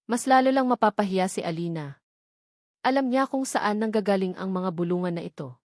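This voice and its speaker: A woman's voice: medium pitch, brisk, dry recording. Female narrator, Filipino accent, dramatic romance storytelling, mid-range voice, dry recording.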